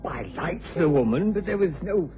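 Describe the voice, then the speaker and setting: An actor playing a nervous, evasive suspect in a radio drama, halting and stammering as he speaks. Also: high voice, expressive. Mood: flustered, panicky. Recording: dull old radio recording.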